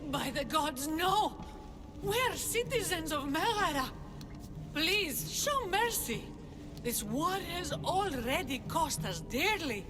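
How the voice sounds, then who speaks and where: Pleading female villager for a game: high, animated, unhurried, desperate, with long reverb. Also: over music, exaggerated voice. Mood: anxious, pleading. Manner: pleading, earnest. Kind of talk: video game cutscene acting.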